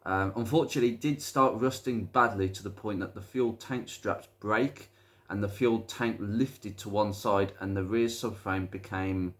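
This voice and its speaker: A British man with a slightly croaky voice from hay fever, presenting an informal car video in a chatty, hesitant way with rambling asides. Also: on the low side, normal speed, a bit bright. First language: English. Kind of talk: informal car video commentary, reading out a viewer's message.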